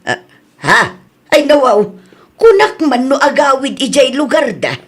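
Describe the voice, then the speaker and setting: A woman's voice: sits high, lively intonation, measured pace, breathy edge, heavily acted. An actress with an Ilocano accent playing a nosy, disapproving older mother in a radio drama. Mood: inquisitive.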